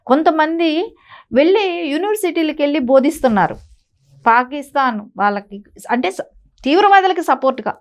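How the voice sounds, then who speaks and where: A woman's voice: sits high, animated, moderate pace, long pauses. A woman giving opinionated news commentary in an emphatic, insistent way, often using rhetorical questions. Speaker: political commentator on a news talk show.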